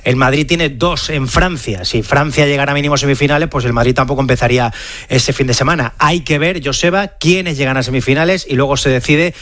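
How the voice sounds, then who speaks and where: A man's voice: medium pitch, fairly quick, fairly bright tone. A man with a Spain Spanish accent explaining sports news in a live radio report.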